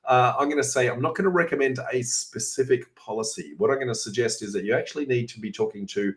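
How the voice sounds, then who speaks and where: Hoarse raspy Australian man. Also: mid-range voice, fast talker. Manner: chatty, many "uh" fillers, self-correcting. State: hoarse, coughing. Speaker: host answering viewer questions. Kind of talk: informal Q&A session.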